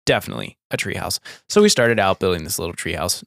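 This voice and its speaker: A man's voice: low-pitched, sing-song, fast talker, vocal fry, a bit bright. A young man co-hosting a podcast, telling a childhood story in casual, rambling talk with frequent laughs. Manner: rambling, laughs often, says like a lot. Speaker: podcast co-host.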